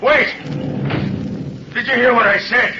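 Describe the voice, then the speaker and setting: An actor in an old radio drama playing a desperate, bitter, hungry released convict who speaks in intense, halting, repeated phrases. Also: male voice, very high-pitched, steady tone. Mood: desperate, agitated. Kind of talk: 1930s-40s radio drama acting. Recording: dull, noisy old radio recording.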